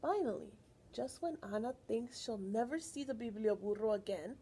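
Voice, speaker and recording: A woman reading a picture book aloud to children, expressive, pausing to ask questions and explain like a teacher. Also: fairly high pitch, a little hiss or hum.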